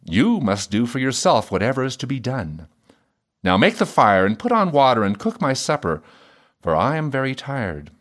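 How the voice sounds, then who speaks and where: Storybook fiddler husband, played by the narrator: blunt, bossy, reproachful, with lively pitch movement. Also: moderate pace. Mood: brusque. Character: gruff, bossy fiddler husband, scolding and matter-of-fact.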